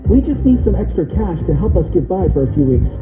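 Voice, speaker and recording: Lively high-pitched man through tinny radio. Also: fairly quick, breathy edge. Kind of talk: radio broadcast audio. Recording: tinny echoey radio speaker, loud music. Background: loud music.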